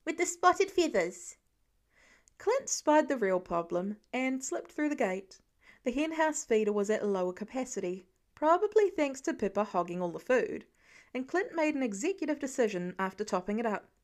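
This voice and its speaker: A woman with a fairly high voice narrates a story. She reads scripted fiction in the manner of an audiobook narrator. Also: very animated, moderate pace, darkish tone.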